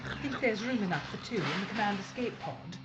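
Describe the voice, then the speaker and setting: A woman's voice: medium pitch, lively intonation, a little fast, close to an everyday voice. A woman playing a wry, unflappable spaceship captain in a sci-fi audio drama, speaking dryly and with resolve. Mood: resolute, grim. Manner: dry, sardonic, resolute. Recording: dull recording with faint noise.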